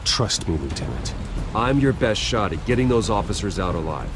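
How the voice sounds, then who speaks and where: An actor playing a grim, determined masked vigilante billionaire in a dramatic video game. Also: male voice, lowish voice, big pitch swings, normal speed, background noise. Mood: urgent, persuasive. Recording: loud music and effects behind.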